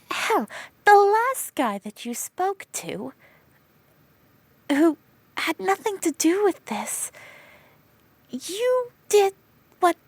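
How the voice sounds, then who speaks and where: Girl playing a worried bestie, high voice, scolds then whispers soothing stuff, takes her time. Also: no room sound, heavily acted. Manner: exasperated scolding turning to soft whispered soothing. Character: protective, fretful young woman friend. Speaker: comforting friend. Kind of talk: audio roleplay to the listener.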